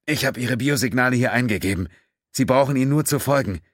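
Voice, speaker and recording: A man's voice: mid-range voice, fast, no echo, studio quality, voice pushed up, lightly acted. A man voicing a desperate, injured male father who pleads urgently for help. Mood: pleading.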